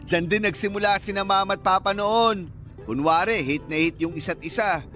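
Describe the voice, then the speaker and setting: A man's voice: high voice, a little slow, bright, forceful tone, faint music behind. A man playing a cocky young Filipino in a radio romance drama, playful and boastful as he teases his cousin. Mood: teasing. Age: young adult.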